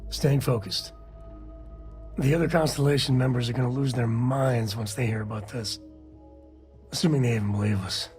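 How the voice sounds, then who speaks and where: A man voicing a relaxed, plain-spoken space cowboy from a video game, talking in an ordinary mid-range voice. He sounds easygoing and concerned, with soft game music in the background. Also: mid-range voice, normal speed, pauses often, lightly acted. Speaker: companion character.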